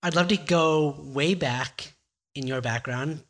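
A man's voice: fairly high pitch, slow, a bit bright. A man hosting a podcast, introducing the show with upbeat energy and asking long, rambling questions. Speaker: podcast host.

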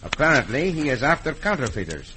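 An actor playing a confident, gallant Mexican cowboy hero with formal phrasing and a Mexican accent, in old radio western style. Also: male voice, mid-range voice, big pitch swings, close to an everyday voice. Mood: calm, reasoning. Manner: formal, deliberate phrasing, few contractions. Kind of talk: 1950s radio western acting. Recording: old radio recording, faint noise.

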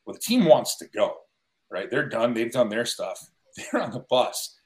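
A man's voice: medium pitch, big pitch swings, unhurried. A middle-aged man in casual conversation as a guest sports broadcaster, telling stories with wry, self-deprecating humour. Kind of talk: casual chat, storytelling.

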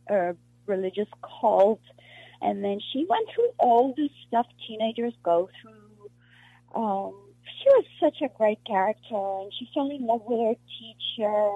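A woman from South Africa, an actress being interviewed, talking in a halting way with many restarts and fillers. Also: mid-range voice, creaky, husky, no echo. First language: Afrikaans. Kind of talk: casual interview conversation. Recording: muffled lo-fi dry recording.

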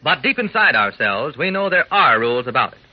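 Animated, muffled old radio announcer man. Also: medium pitch, brisk. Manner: folksy slang, earnest. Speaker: announcer giving a message to young listeners. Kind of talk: 1940s radio scripted talk.

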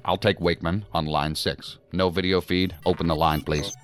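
Very deep-voiced, authoritative federal director. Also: male voice, steady tone, fairly bright tone, faint music behind. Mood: commanding, businesslike. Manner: formal, deliberate, authoritative. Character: commanding, measured male senior federal agency director. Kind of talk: audio drama acting.

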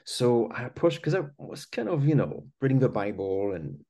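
A man's voice: medium pitch, very animated, moderate pace, warm tone, dull audio. A man from France with a French accent, a podcast guest telling his life story in an earnest, conversational way. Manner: earnest, many small restarts and "you know".

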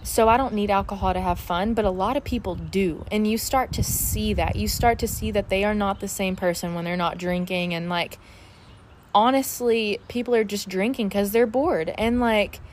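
A woman's voice: medium pitch, moderate pace, a little hiss or hum. A woman of twenty-one, chatting candidly on her solo podcast, full of fillers. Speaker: podcast host. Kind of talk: casual solo podcast chat. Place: outdoors in her backyard.

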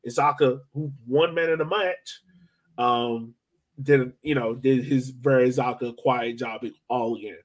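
A man's voice: medium pitch, steady tone, a little slow. Casual American man talking soccer. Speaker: podcast co-host. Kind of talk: casual sports analysis chat.

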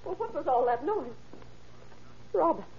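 A woman's voice: high-pitched, animated, measured pace, muffled recording, a little hiss or hum. An actress playing an anxious, trusting older mother in a fretful, earnest old radio drama style. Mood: worried. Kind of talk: vintage radio drama acting.

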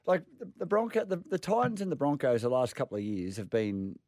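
A man's voice: medium pitch, very animated, a little mellow, dry recording. An Australian man in his forties co-hosting a casual sports chat, stopping and restarting his sentences often. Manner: halting, repeats words when starting sentences. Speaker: co-host.

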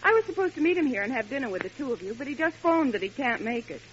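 A woman's voice: sits high, sing-song, fast. An actress playing a forward, coaxing young woman in an old-time radio sitcom, talking with easy familiarity. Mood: friendly, persuasive. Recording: muffled, hissy vintage radio broadcast.

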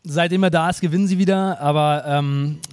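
A man's voice: on the high side, steady tone, fairly quick, a little mellow, clean tone. A man talking sports casually and in slangy, colloquial words as a guest on a live podcast. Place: live stage show.

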